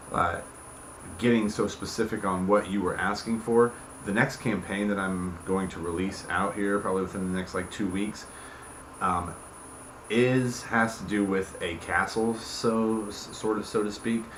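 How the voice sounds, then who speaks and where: Deep voiced guy rambling casually to viewers, stops and starts, echoey room w noticeable noise behind him. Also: steady tone, fairly bright tone. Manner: halting, rambling, often breaking off mid-thought. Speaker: tabletop game campaign creator hosting a video channel. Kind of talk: casual unscripted explainer to viewers.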